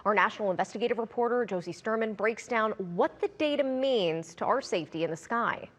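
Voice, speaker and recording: A woman's voice: mid-range voice, fairly quick, soft background music. A woman anchoring the news in a TV studio, introducing reports from a script.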